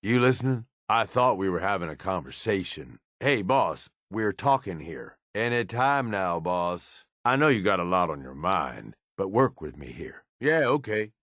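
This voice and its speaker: An actor playing a gruff, scheming male raider lieutenant in a video game, with a crude, sardonic tough-guy drawl. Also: sits low, big pitch swings, unhurried, few pauses, a bit bright, no room sound, studio quality, exaggerated voice. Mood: companion talk. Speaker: companion character. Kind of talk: video game combat and companion barks.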